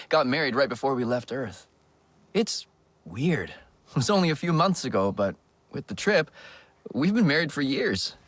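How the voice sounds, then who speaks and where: A warm, chatty game builder; animated delivery, dry booth sound. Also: male voice, medium pitch, breathy edge, no echo. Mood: fond. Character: friendly male construction worker, chatty and warm about wood. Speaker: builder who left the corporation.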